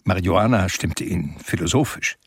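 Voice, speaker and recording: An actor playing a sensitive, reflective younger brother who narrates a German radio drama in a measured, wistful, literary manner. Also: male voice, deep voice, slightly rough, dry recording, clean studio sound. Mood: reflective recounting.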